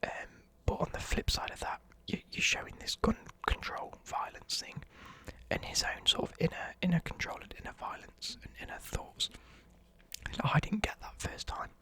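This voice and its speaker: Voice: moderate pace, sharp tone. A young adult whispering a casual, enthusiastic ASMR music review, swearing now and then and muttering lyrics under the breath. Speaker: ASMR vlogger.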